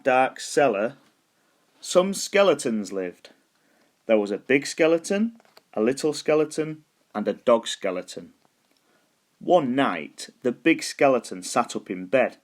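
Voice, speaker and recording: Male storybook reader for young children: medium pitch, highly animated, deliberate delivery with drawn-out emphasis. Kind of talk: scripted children's story narration.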